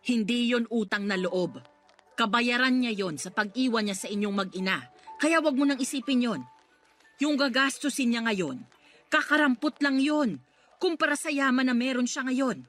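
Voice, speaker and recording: Actress doing loyal filipina friend, kinda bossy and pleading, highish voice, radio drama, music behind. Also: female voice, moderate pace, a bit bright, natural voice. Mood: urging, firm. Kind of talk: Tagalog radio drama acting.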